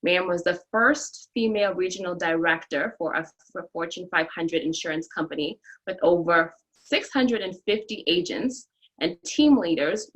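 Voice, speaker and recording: A woman's voice: on the low side, a bit bright, low-quality recording. Upbeat woman podcast host. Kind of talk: interview with a scripted guest introduction.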